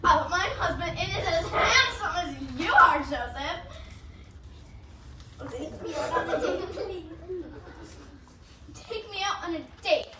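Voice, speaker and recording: A coy, scheming married lady of the palace, acted by a woman in amateur stage drama. The voice is very high and slow, with overdramatic wheedling. It sounds distant in a noisy, echoing room. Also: lively intonation, heavily acted. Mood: coy, flirty. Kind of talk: amateur reader's theatre performance. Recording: roomy, distant, noisy recording.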